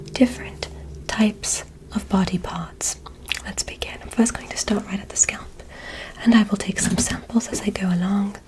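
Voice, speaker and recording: A woman playing a curious, clinical alien examiner in a soothing, methodical ASMR roleplay, whispering softly and deliberately. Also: mid-range voice, lightly acted, low-quality recording. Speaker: ASMR performer.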